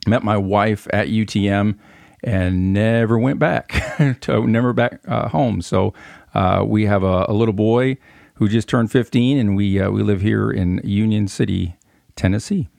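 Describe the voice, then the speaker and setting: Low-voiced middle-aged man, halting and conversational. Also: gravelly, darkish tone, dry recording. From Michigan, United States. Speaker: podcast guest. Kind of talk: casual conversational answers.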